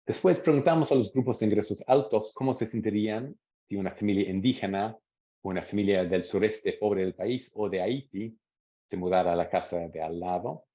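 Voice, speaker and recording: A man with a deep, dark-toned voice presents research at a seminar. He speaks in a measured way and reads out interview quotes. The audio is dull and lo-fi. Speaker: academic presenter.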